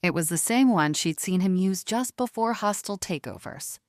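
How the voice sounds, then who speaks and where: A woman narrator delivering scripted romantic drama in a measured, emotive storytelling manner. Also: mid-range voice, animated, dry recording, clean studio sound.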